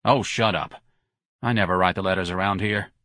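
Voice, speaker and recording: A man voicing an impatient, gruff businessman husband in an audiobook. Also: low voice, fairly even intonation, a little fast, sharp tone, no room sound, clean studio sound. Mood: accusing. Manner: impatient, blunt. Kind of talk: audiobook character voice.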